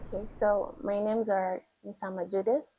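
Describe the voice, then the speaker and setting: Zambian woman, 19, pageant contestant, mellow voice, eager and encouraging. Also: mid-range voice, flat delivery, normal speed, a little hiss or hum, low-quality recording. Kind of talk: prepared self-introduction to camera.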